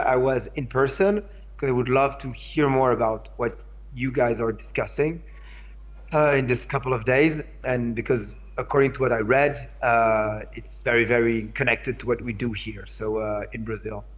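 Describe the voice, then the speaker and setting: A man's voice: medium pitch, normal speed. French-accented male speaker on a video call; hesitant, restarts a lot, keeps asking the room if they follow. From France. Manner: hesitant, repeats words, checks in with listeners. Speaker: invited speaker, curator. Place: in São Paulo, remote.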